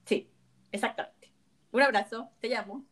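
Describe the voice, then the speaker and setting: A woman's voice: on the high side, normal speed, a bit bright. Chatty woman, podcast co-host. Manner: chatty, laughs often. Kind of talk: casual podcast chat.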